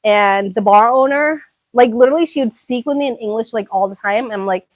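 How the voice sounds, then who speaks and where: A young woman from Thailand talks casually on a live stream, reading her viewers' messages aloud and answering them with lots of fillers and repeated words. Her voice sits a little high, and the sound is close and dry with no room echo. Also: fairly quick. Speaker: YouTuber reading viewer chat.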